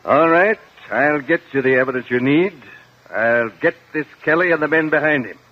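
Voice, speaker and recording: An actor playing a stern, stubborn judge with an emphatic, brisk manner in a 1950s radio drama. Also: male voice, on the high side, lightly acted. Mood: determined. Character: stern, stubborn judge, quick to get worked up. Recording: muffled 1950 radio broadcast.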